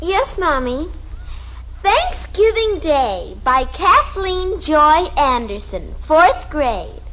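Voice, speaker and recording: A dutiful, plaintive schoolgirl for vintage radio; tiny high voice, animated childlike recitation, dull recording. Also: extremely slow, exaggerated voice. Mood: earnest, plaintive. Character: earnest nine-year-old schoolgirl, dutiful but stubborn. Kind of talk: 1950s radio family comedy acting. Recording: muffled old radio recording, faint noise.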